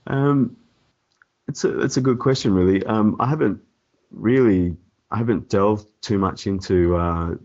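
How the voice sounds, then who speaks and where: A man with a cold and a croaky, hoarse voice, answering interview questions hesitantly with many ums and restarts. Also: fairly low pitch, measured pace, a little mellow. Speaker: template developer guest. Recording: dull lo-fi audio.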